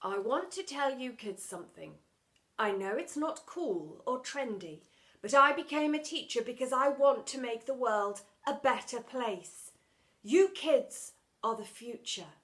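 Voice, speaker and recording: A woman reading the lines of an earnest, put-upon male schoolteacher, growing loud and emphatic as he speechifies. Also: a little high, lively intonation, measured pace.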